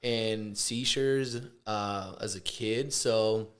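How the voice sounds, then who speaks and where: A man from Puerto Rico, a chiropractor, chatting casually in an interview, relaxed and hesitant with many fillers. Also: on the low side, very slow. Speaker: chiropractor, guest.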